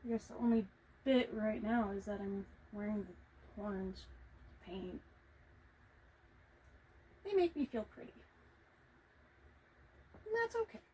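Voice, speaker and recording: A woman vlogger talking casually to herself as she paints, reflective, halting and encouraging, with a naturally quiet voice that she tries to project. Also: medium pitch, lively intonation, slight background noise, poor audio. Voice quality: normally quiet voice, making an effort to project. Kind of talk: casual self-talk while painting. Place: hot room indoors.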